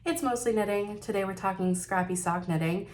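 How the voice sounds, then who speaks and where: A woman with a stuffy, nasal voice from a cold hosts a knitting show, talking through her projects in an easygoing, chatty way. Her pitch sits in an ordinary middle range, and there is a faint hiss of noise behind her. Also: brisk, darkish tone. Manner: chatty and upbeat, with fillers and slips. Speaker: knitting podcast host. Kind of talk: casual chat about knitting projects.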